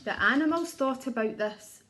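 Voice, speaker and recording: High-voiced woman reading a children's story. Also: normal speed, poor audio. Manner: expressive, asks the young listeners questions. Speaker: early years teacher reading a story. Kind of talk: children's storybook read-aloud.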